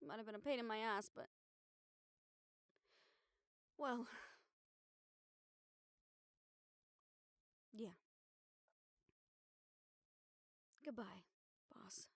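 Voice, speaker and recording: A woman's voice: a little high, fairly quick, no room sound, clean studio sound, lightly acted. A woman acting a weary, sardonic, stubborn young newspaper reporter in an intimate audio roleplay, talking in a casual, wry, sweary way. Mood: grateful, subdued.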